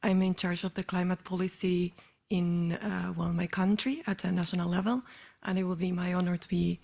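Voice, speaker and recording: A woman from Uruguay who works in national climate policy, introducing herself earnestly and a little haltingly. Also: lowish voice, fairly even intonation, vocal fry, darkish tone. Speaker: new board member, climate policy official. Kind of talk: brief self-introduction.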